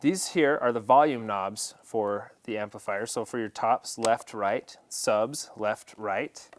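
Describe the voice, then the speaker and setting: A man's voice: lowish voice, slow, a little hiss or hum. A man presenting an instructional equipment setup tutorial, explaining each step in a plain, practical way.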